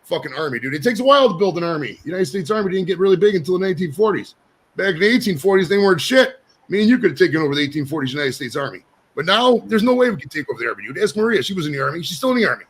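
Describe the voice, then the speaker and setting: A brash man in his forties hosts a podcast from his home studio. He talks quickly and rambles, cursing freely, and his voice sits a touch higher than most men's. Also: expressive, slightly rough. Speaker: podcast host. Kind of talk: casual chat.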